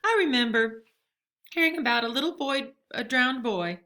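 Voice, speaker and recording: Woman as a folksy, kindly old woman, bright-voiced. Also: high-pitched, expressive, normal speed, pitched above the performer's own voice. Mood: reflective. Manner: folksy, plainspoken, firm but gentle.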